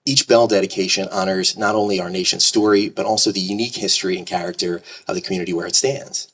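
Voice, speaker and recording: A man's voice: deep voice, steady tone, fast talker, fairly bright tone, breathy edge, far from the mic. A man hosting an outdoor courthouse ceremony, speaking earnestly with small stumbles. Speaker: master of ceremonies. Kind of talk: public speech at outdoor ceremony.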